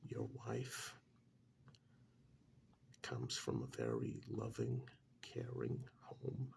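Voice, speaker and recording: A man playing a calm, blunt couples therapist in a soft-spoken ASMR role-play, half-whispering with breathy, deliberate, hesitant pauses. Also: a bass voice, full character voice. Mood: frank, measured. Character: calm, blunt male couples therapist counselling married clients. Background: soft clicking of pencil tapping.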